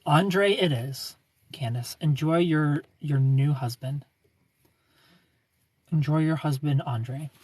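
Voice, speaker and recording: A man in his thirties hosting a casual livestream, reading viewer comments and greeting them by name in a smooth, laid-back way. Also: fairly high pitch, slow pace, pauses often, darkish tone, no room sound. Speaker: livestream host.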